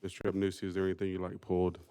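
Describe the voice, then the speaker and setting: A man chairing a school board meeting, running through the agenda with a formal, steady roll-call cadence. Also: low-pitched, rapid-fire, smooth.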